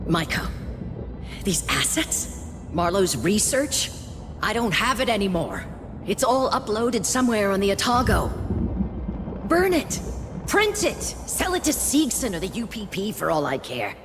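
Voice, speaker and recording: Desperate pleading sci-fi villainess, bitter, slow and swooping, huge music behind. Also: female voice, mid-range voice, big pitch swings. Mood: bitter defiance. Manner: intense, pleading, slow and emphatic. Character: desperate, pleading female corporate officer, bitter and unravelling. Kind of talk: video game cutscene acting. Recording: long reverb, very loud music.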